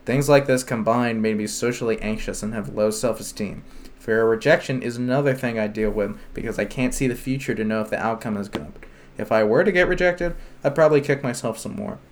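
A man co-hosting a podcast in casual chat who reads forum posts aloud in a dry, deadpan way. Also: mid-range voice, normal speed. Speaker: podcast co-host.

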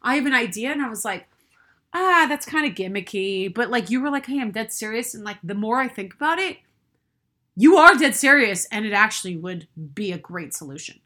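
Bright-voiced chatty woman podcast host. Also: a little high, lively intonation, brisk. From Boston. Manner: chatty, upbeat, lots of fillers. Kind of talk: casual podcast chat.

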